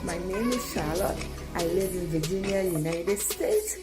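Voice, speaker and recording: A woman from Ghana giving a testimonial, lively and rising on key words. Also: fairly low pitch, measured pace. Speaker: medical billing specialist. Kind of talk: promotional testimonial. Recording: echoey room with loud music.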